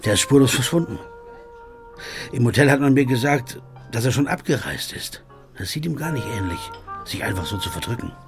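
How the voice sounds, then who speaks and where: A man's voice: mid-range voice, lively intonation, a little fast, faint music behind, close to an everyday voice. An actor playing an earnest jazz pianist who questions people and hesitates when he's worried. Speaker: narrator and protagonist. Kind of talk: audiobook drama acting.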